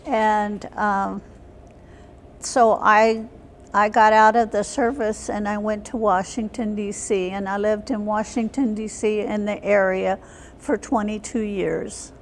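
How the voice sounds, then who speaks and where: An older woman, in her seventies or beyond, recalling her life as an interview guest in a plain, halting way. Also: medium pitch, flat delivery, slight background noise. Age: 70s or older. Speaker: interview guest, military veteran. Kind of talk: reminiscing in an unscripted interview. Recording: long hall reverb.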